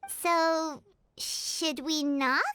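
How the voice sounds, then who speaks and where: A woman voicing a small, excitable, childlike companion sprite in animated video game dialogue, with a squeaky, chirpy way of talking. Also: very slow, heavily acted. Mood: puzzled. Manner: chirpy, speaks of self in third person.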